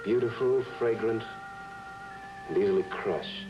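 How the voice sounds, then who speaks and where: Casting: male actor as smooth island lover, low voice, courtly and romantic, muffled vintage drama sound with loud music. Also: unhurried, close to an everyday voice. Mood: seductive. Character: suave seductive island man, smooth lover. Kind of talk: old-time television drama acting.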